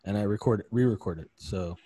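A man's voice: fairly low pitch, fast, warm tone, dry recording. A man from New York City co-hosting a casual chat, plain-spoken, who often trails off with a short "so.".

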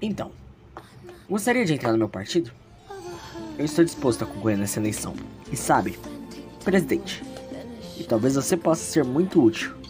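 A woman's voice: a bass voice, very animated, normal speed, long pauses, music in the background. A woman with a Brazilian accent voicing an earnest, self-doubting, ambitious teenage schoolboy in an audio drama. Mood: persuasive.

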